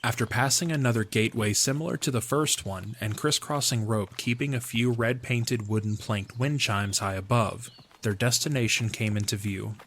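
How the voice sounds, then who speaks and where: A man's voice: medium pitch, normal speed. A man reading scripted story narration as the narrator of an audio drama.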